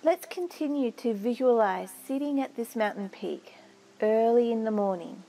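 A woman narrating a guided meditation in a soothing, measured way with long pauses. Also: fairly high pitch, mellow, low-quality recording. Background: music.

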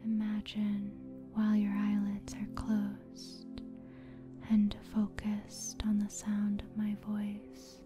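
ASMR host, female: hushed breathy whisper, very flat and soothing delivery, light music underneath. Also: medium pitch. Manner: slow, soothing, deliberate. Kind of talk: whispered soft-spoken ASMR, guided sleep meditation.

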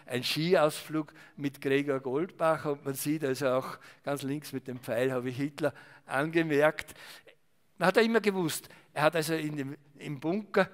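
A man's voice: on the high side, a bit bright. An older man giving a rambling talk as a historian in front of a small audience, full of fillers and restarts. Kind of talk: discursive public talk with slides. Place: salon event room with small audience. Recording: reverberant hall.

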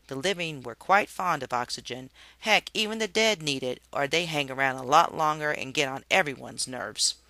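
A storybook reader giving an expressive read-aloud narration for children. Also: mid-range voice, dry recording.

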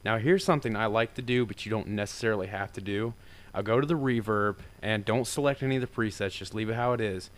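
A low-voiced man with a smooth, clean tone walks through a software tutorial. He talks informally and rambles a bit, using lots of fillers. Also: fast talker. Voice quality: deeper voice. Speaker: tutorial maker. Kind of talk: casual screen-recorded software tutorial.

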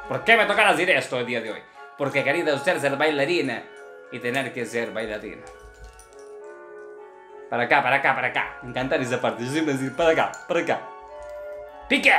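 A man's voice: mid-range voice, pushed and bright. A man playing a strict, blunt, theatrical Russian ballet teacher in a comic commentary, with a Russian accent and broken grammar. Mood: theatrical, critical. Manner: broken Spanish with infinitive verbs, chanted counting syllables. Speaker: ballet teacher reviewing dancers' videos. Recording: roomy echo with faint music behind.

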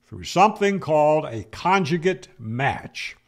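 Older male explainer, unhurried and emphatic, animated intonation, recorded close on a studio mic at home. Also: on the high side. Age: older, 60s or 70s. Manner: emphatic, rhetorical questions and firm answers. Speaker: ham radio explainer, video host. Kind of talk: explanatory lecture to camera. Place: small home room, indoors. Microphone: close studio mic on boom arm.

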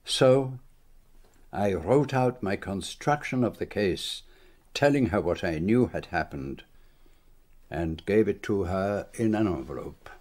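A methodical middle-aged male detective in a radio mystery. His voice sits fairly low. He sets out his reasoning formally and deliberately, with great composure. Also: lively intonation, darkish tone, heavily acted. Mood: grave, certain. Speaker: actor playing a detective. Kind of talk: radio drama acting.

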